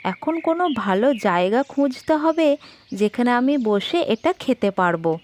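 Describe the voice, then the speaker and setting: Woman as a high-voiced foolish, vain crow. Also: very animated, measured pace, darkish tone, a little hiss or hum. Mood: pleased. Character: foolish, vain female crow, easily pleased. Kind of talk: children's cartoon story voice acting. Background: music.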